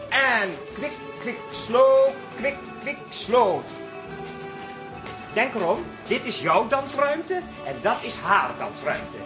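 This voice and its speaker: An actor playing a camp, gushing ballroom dance teacher in a radio comedy. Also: male voice, very high voice, expressive, takes it slowly, music in the background, full character voice. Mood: instructing.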